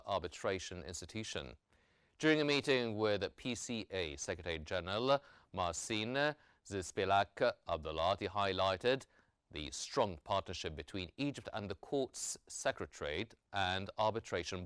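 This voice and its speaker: A man working as a news anchor, reading a scripted bulletin in a formal, measured newsreader delivery. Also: fairly low pitch, a bit bright, clear, resonant tone, dry recording, studio quality.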